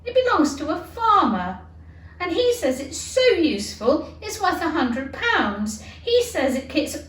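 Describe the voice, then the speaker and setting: A woman's voice: high voice, sing-song, a little slow, a bit bright, pitched above the performer's own voice, heavily acted. A woman playing a polite, eager young girl from a storybook, with an earnest, soothing and chatty manner. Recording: roomy, distant, noisy amateur recording.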